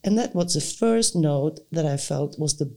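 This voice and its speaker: A middle-aged woman, a singer and voice teacher, talking casually in English with thoughtful, halting phrasing and frequent restarts. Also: low-pitched, expressive, mellow. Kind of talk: casual conversation.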